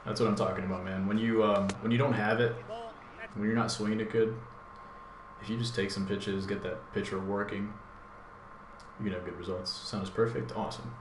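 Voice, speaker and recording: A man with a deep voice talks over his own gameplay as a channel host, thinking aloud in a relaxed, rambling way. His voice sits low, and the room around him is echoey. Steady background noise runs under everything he says. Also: a little fast. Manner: thinks aloud, laid-back, rambling with fillers. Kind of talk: casual live gameplay commentary.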